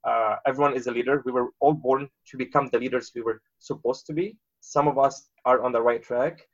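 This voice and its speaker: A man in his thirties, from Lebanon, talking casually as an interview guest and pausing often on filler sounds. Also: medium pitch, fairly even intonation, highs cut off. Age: 30s. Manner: halting, many filler uhs.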